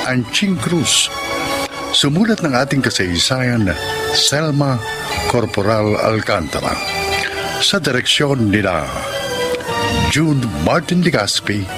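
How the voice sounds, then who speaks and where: A Filipino man delivers formal radio drama narration over clear background music. His delivery is measured and scripted, in the classic announcer style. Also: medium pitch. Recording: music behind the voice.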